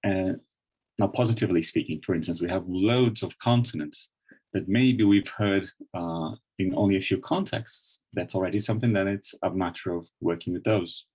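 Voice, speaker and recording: A Brazilian man speaks with a Brazilian Portuguese accent in a low, husky voice. He gives an online lecture in a thoughtful way, with many restarts and fillers, into a computer microphone. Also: moderate pace, darkish tone, poor audio. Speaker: webinar presenter.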